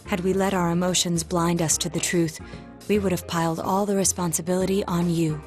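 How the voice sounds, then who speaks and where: A woman playing a composed, analytical teenage detective in a video game, with measured, formal and precise speech. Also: lowish voice, little pitch movement, a little mellow, soft background music, natural voice. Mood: sober, respectful. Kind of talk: English game voice acting.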